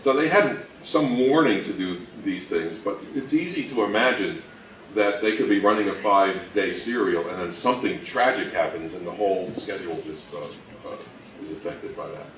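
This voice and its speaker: Low-voiced man lecturing in a roomy space. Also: lively intonation, fairly bright tone. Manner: halting, thinking aloud. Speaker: co-presenter at a fan convention talk. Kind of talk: informal lecture on old radio history. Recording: roomy, distant mic with faint noise.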